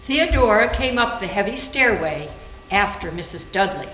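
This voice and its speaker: A woman's voice: medium pitch, lively intonation, normal speed, fairly bright tone. A woman narrating a literary story as a scripted staged reading. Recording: echoing room, faint noise.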